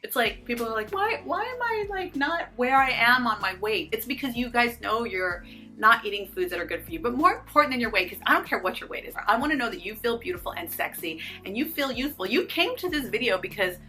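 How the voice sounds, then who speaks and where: A woman's voice: fairly high pitch, expressive, a little fast, bright, forceful tone, soft background music. A woman in her fifties talking casually to the camera, upbeat and encouraging, speaking straight to her viewers. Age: 50s. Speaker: fitness and lifestyle YouTuber.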